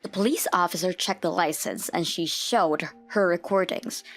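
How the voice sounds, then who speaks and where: A woman's voice: lowish voice, moderate pace, faint music behind. A woman reading scripted story narration for a manga dub.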